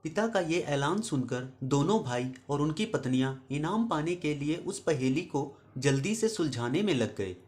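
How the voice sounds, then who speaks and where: A man with a somewhat high voice narrates a moral story from a script. He speaks to his listeners as a storyteller and host, earnest and instructive. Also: expressive, moderate pace, few pauses, a little mellow.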